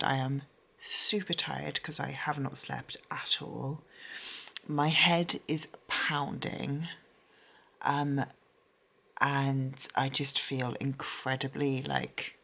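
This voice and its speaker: A woman's voice: low-pitched, gravelly, sharp tone. A woman vlogging about books in a rambling, casual way, full of fillers and restarts. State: losing her voice, tired and unwell. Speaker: book vlogger. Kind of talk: casual chat.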